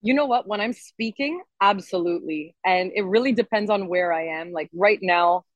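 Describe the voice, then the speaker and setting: A woman's voice: medium pitch, moderate pace, no echo, lo-fi sound. A woman singer-songwriter chatting casually and enthusiastically as an interview guest. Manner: enthusiastic, expressive.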